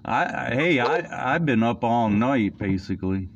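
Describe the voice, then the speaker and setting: A middle-aged man hosting an interview, easygoing and soft-spoken. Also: mid-range voice, animated, unhurried. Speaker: interviewer, host. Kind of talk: casual interview chat. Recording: dull, slightly noisy line.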